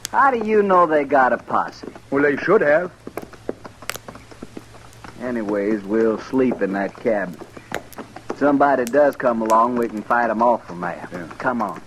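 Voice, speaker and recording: An uneasy outlaw on the run in an old radio western. He bickers in a slow, rustic frontier drawl at an ordinary male pitch. The vintage recording carries a faint layer of noise. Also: lively intonation, fairly bright tone, dull audio. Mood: tense, irritable.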